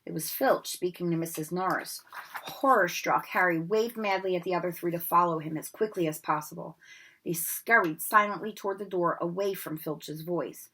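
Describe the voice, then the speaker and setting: A woman reading a storybook aloud with expressive narration. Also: lowish voice, normal speed, breathy edge, low-quality recording. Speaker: reader.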